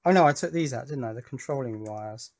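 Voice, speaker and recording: A man's voice: mid-range voice, a little fast. Man thinking aloud at a workbench. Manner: thinking aloud, asking himself tag questions. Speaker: electronics hobbyist presenter. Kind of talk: casual workbench narration to viewers.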